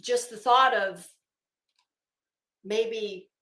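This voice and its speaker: A woman host reading aloud and adding sarcastic, emphatic, halting commentary as she goes. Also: on the high side, bright, forceful tone. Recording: echoey room sound.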